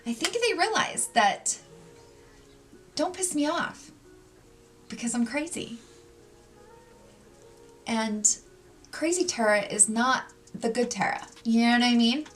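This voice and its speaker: A woman in her forties talking through a makeup tutorial at home, with gossipy, animated storytelling and lots of "like"s. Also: fairly high pitch, slow, pauses often, soft background music. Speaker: beauty vlogger. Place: home, sitting at a makeup table.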